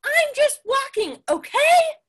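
A woman's voice: extremely high pitch, big pitch swings, slow pace, a bit bright, voice pushed up, exaggerated voice. A woman voicing a grumpy little male bird in a storybook, curt and irritated. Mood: exasperated.

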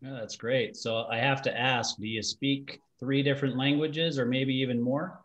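A man's voice: mid-range voice, fairly even intonation, moderate pace, a bit bright. A man in his forties from Utah, hosting a business interview in a friendly, conversational way over a video call from a home office. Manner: friendly, conversational, fillers like "you know". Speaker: podcast host.

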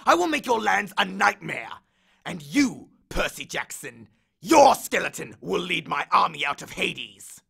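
A man's voice: sits high, very animated, moderate pace, vocal fry, bright, forceful tone, breathy edge, heavily acted. A man voicing an angry, aggrieved male god of the dead who bellows complaints and threats in an audiobook. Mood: menacing. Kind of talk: audiobook character voice.

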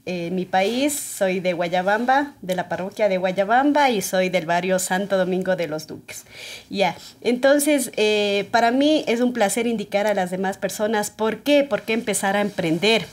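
A young woman with an Ecuadorian Spanish accent, a guest in a studio podcast interview, explaining in an animated, chatty way and checking in with her listener. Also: medium pitch, moderate pace. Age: young adult. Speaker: guest, TikTok creator. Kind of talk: casual interview talk. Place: small podcast studio, indoors. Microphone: studio condenser mic on stand.

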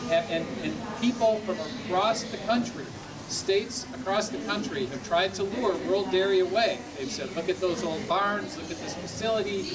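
A middle-aged man giving a public speech outdoors at a ribbon cutting ceremony. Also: sits high. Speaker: official speaking at ceremony. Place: outdoors at a podium before a crowd. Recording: distant outdoor mic, loud crowd.

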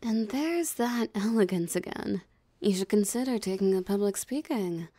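A woman's voice: medium pitch, animated, normal speed, warm tone, no echo, natural voice. A woman voicing a possessive, mocking female vampire in an ASMR roleplay, with a teasing, theatrically smug drawl. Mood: mocking, amused. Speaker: voice actor. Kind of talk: ASMR roleplay audio drama.

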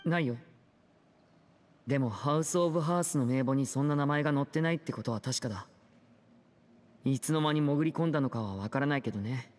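A man playing a composed teenage older brother in an anime-style game, measured, serious and questioning, with music behind. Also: mid-range voice, darkish tone, lightly acted. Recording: studio voice over game music. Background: background music.

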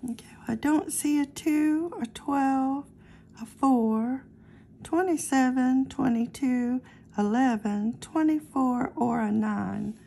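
A woman hosting a scratch-off ticket vlog, calmly reading out numbers one by one as she scratches. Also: sits high, slow pace, creaky, a little hiss or hum. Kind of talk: casual talk while scratching lottery tickets.

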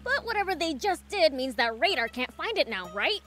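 A brash young demon prince from a video game. The voice is high and swings up and down, cocky and impatient, with blunt, boisterous delivery. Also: female voice, very animated, a little fast, fairly bright tone, faint music behind. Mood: blunt, grumpy.

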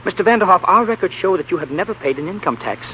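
Fast-talking officious tax man. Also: sits high, lively intonation. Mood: insistent. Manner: insistent, businesslike. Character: officious, exasperated male government tax man. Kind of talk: 1930s radio drama acting. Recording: dull, noisy 1930s radio broadcast recording.

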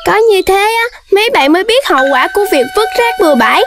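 Very high-pitched cartoon puppy boy. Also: fast. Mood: bossy, annoyed. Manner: childlike, expressive. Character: excitable little dalmatian puppy boy, bossy but polite. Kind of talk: children's cartoon dubbing. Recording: loud music behind the voice.

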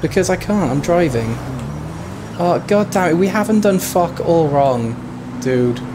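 A man's voice: on the high side, animated, unhurried, background noise. A man joking and laughing in casual voice chat while playing a roleplay game. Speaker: roleplay gamer.

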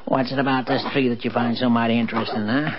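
A man's voice: medium pitch, fairly even intonation, fast, a crowd in the background, natural voice. A man playing a folksy, rambling old country fellow in an old-time radio drama. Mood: folksy grumbling. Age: older. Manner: folksy, rambling, muttering to himself. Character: folksy old country man, grumbling but kindly dog owner. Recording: dull, noisy old radio recording.

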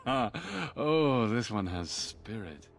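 A man's voice: medium pitch, very animated, very slow, creaky, soft background music, full character voice. An actor playing an arrogant, lecherous young nobleman in a fantasy video game, with a sneering drawl. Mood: menacing, amused.

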